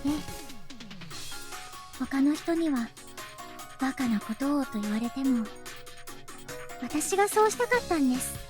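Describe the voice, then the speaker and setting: A whimsical teenage schoolgirl from a visual novel, high and quick in her speech. She is polite and gently teasing, with an air of mystery, over prominent background music. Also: animated. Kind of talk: Japanese visual novel voice acting.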